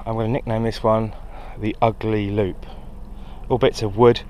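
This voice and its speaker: British male hobby vlogger, lowish voice, chatty and rambling, on an action camera in a garden with faint background noise. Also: level tone, moderate pace, warm tone. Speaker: amateur radio hobbyist and video host. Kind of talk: casual hobby vlog chat. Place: outdoors in a garden. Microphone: handheld action camera.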